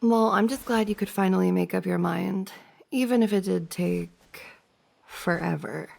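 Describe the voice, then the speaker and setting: A woman's voice: mid-range voice, normal speed, creaky. A woman playing a playful, teasing young woman, a best friend's older sister, in a giggly, casual ASMR roleplay. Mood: playful reassuring. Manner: giggly, teasing banter with frequent soft laughs.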